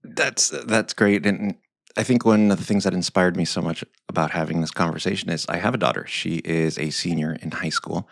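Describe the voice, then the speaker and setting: Very deep-voiced enthusiastic male host. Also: a little fast, vocal fry, dry recording, studio quality. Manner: enthusiastic, effusive, fillers and restarts. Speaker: podcast host. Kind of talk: podcast interview.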